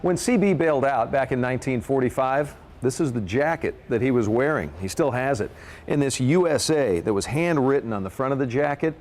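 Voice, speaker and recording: A man's voice: mid-range voice, darkish tone. An American man presenting as a television news anchor.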